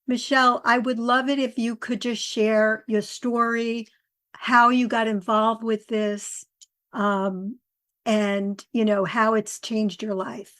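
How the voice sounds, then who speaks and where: A woman hosting a podcast in a conversational, chatty way, quick to laugh. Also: a little high. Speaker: podcast host.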